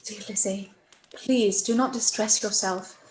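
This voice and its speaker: A woman playing a gentle, trusting young Regency sister in a period radio play, soothing, with careful formal diction. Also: medium pitch, fairly even intonation, a little slow, a little hiss or hum, lightly acted, rough recording. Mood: soothing, concerned.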